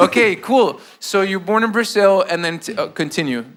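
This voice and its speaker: A man from South America hosting a casual interview, excitable and quick to interject. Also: high voice. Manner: excitable, interjecting, laughs often. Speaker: host.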